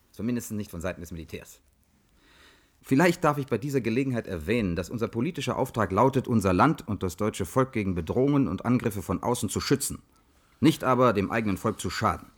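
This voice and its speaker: A male army officer in a radio play. He answers formally and firmly, giving nothing away in clipped, controlled sentences. The voice is slightly low. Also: expressive, a little fast. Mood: firm, official. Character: firm, unyielding male army colonel denying a cover-up.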